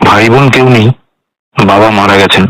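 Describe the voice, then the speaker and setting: A man's voice: on the low side, steady tone, brisk, a bit bright, dry recording, close to an everyday voice, poor audio. An actor playing a guarded, polite male secretary in a radio drama, answering carefully and defensively.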